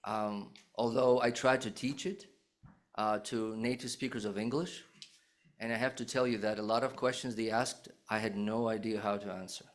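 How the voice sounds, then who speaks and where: A man speaks at a lowish pitch, joining a conference remotely through a video call. He answers as the keynote speaker in a measured, hesitant way, often pausing on "um" as he thinks. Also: steady tone. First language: Russian. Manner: measured, hesitant with many "um" fillers.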